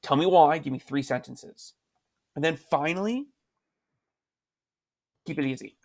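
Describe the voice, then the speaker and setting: Gravelly-voiced male teacher walking through a lesson. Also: fairly high pitch, unhurried, a little mellow. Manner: patient, repeats questions to prompt students. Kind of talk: screen-recorded writing lesson.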